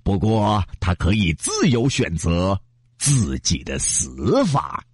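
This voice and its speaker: A man's voice: sits low, sing-song, takes it slowly, slightly rough, no echo, clean studio sound, full character voice. A man voicing a gloating evil giant demon. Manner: gloating, mocking laughter.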